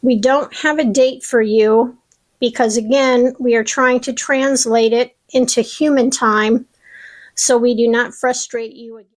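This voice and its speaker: Soft slow-speaking woman, partly whispering. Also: a little high, steady tone, poor audio. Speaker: self-styled channeler. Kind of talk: reading a channeled message.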